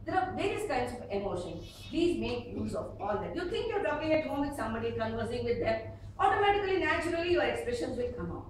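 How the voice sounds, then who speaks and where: A middle-aged woman with an Indian accent giving an instructional speech to an audience, expressive and emphatic, with dramatic pauses. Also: sits high. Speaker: public speaking trainer at a speakers club. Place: echoey meeting room with an audience. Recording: echoey hall from far off, noisy.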